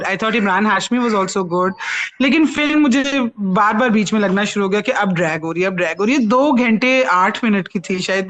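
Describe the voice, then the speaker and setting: A woman with a Pakistani accent hosting a casual film review chat, mixing Urdu with English phrases. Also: medium pitch. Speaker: talk show host and film reviewer.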